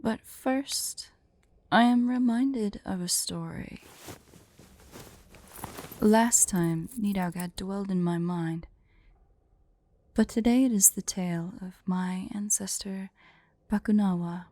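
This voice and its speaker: A woman voicing a haughty, vain, teasing female dragon who is secretly fond, in an intimate, breathy, imperious ASMR roleplay style. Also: mid-range voice, mellow, dry recording, close to an everyday voice. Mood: proud, playful. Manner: slow, imperious, playful, breathy near-whispers at times.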